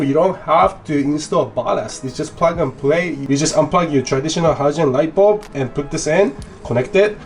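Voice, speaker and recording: A young man with a stuffy nose from a cold, chatting casually as he explains a motorcycle install step by step in his garage. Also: a little high. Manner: chatty, explains steps as he works. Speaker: motorcycle vlogger. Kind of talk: casual how-to vlog. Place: home garage.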